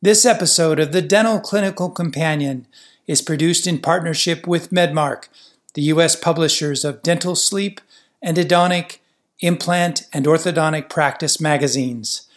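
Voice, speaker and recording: A man's voice: fairly high pitch, normal speed, no room sound. A man hosting a podcast from a studio, reading a polished introduction and then chatting with enthusiasm. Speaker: podcast host. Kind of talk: scripted intro then interview chat. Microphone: studio mic, dry sound.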